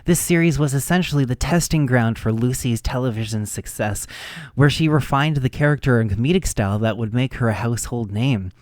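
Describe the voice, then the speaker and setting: A man speaks at a middle pitch in a dry, echo-free recording. He presents scripted commentary as the host of a classic radio show. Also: brisk.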